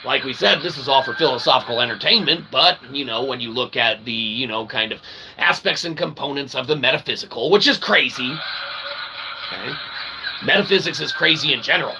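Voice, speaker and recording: Gravelly man in a rambling monologue. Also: mid-range voice, expressive, a little fast. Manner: wordy, mock-formal phrasing with folksy asides; emphatic, often checking in with "okay" and "right". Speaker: channel host. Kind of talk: rambling philosophical monologue to viewers. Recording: clear background ambient noise.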